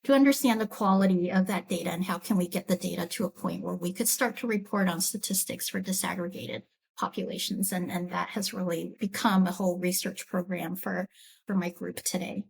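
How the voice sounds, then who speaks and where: A woman's voice: on the low side, steady tone, fairly quick, creaky, husky, low-quality recording. A woman epidemiology professor who explains her research thoughtfully in a podcast interview.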